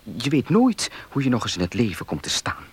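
A man playing a determined, suspicious fiancé in a Dutch radio play, earnest and insistent, with a husky, breathy voice. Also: mid-range voice, wildly swooping pitch, fairly quick, full character voice.

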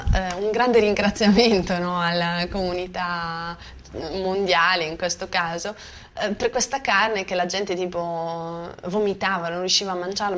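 Low-pitched Bosnian woman talking informally. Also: fairly bright tone. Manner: informal, seeking agreement with tag questions. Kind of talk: conversational spoken commentary. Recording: faint background noise.

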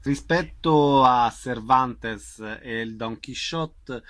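A man's voice: medium pitch, extremely slow, fairly bright tone. A man hosting a radio interview and presenting event listings. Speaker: radio host.

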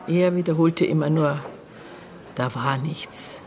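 A woman playing a reserved middle-aged sister who speaks in a measured, reflective way in a radio drama. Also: low voice, darkish tone. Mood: sombre. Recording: faint busy restaurant chatter behind. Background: busy restaurant.

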